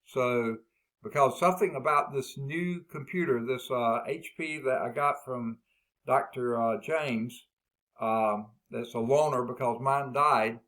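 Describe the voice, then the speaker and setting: A man with a loud voice, leading a math department staff meeting over a video call. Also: medium pitch, unhurried. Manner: loud voice, talkative and explaining. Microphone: laptop built-in mic on a video call.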